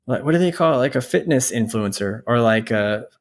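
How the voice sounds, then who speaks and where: A man's voice: medium pitch, expressive, brisk. Guy in his 30s chatting on a podcast, laid out casually, kinda wry, repeats stuff. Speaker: podcast co-host. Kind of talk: casual chat.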